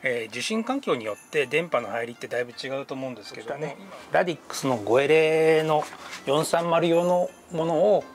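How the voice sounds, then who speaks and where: A man's voice: fairly high pitch, animated, brisk, soft background music. A man giving casual vlog narration as a ham radio hobbyist, often muttering hesitantly to himself. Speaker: amateur radio hobbyist and vlogger.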